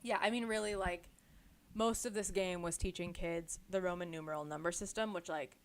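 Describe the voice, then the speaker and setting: A woman's voice: on the low side, steady tone, moderate pace. A woman with an American accent chatting casually and emphatically as a podcast co-host. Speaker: book club podcast co-host. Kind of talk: casual chat.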